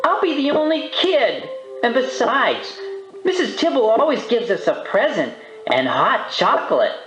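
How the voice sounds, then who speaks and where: A bossy small girl aardvark, put on by an adult narrator, high and whiny, with very animated delivery. Loud music plays behind the storybook reading. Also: measured pace, roomy sound, low-quality recording. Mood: pleading. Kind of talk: children's storybook character voice.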